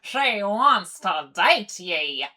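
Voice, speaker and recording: A woman's voice: medium pitch, big pitch swings, drawn-out words, bright, forceful tone, full character voice. Woman putting on a teasing pirate pony captain. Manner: salty pirate-style speech. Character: bossy, teasing pony sea captain, matchmaker. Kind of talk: comic dub cartoon acting.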